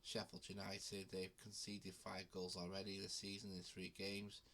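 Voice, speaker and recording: A man's voice: deep voice, monotone, moderate pace, bright, forceful tone, a little hiss or hum. A man with a cold hosting his betting video, talking casually with frequent ums and restarts. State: has a cold. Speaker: betting channel host. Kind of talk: casual talk to camera.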